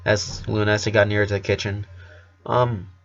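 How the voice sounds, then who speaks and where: A man's voice: lowish voice, completely flat delivery, fast, fairly bright tone, highs cut off. A man narrating a story aloud, now and then stumbling over his words. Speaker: fan fiction narrator.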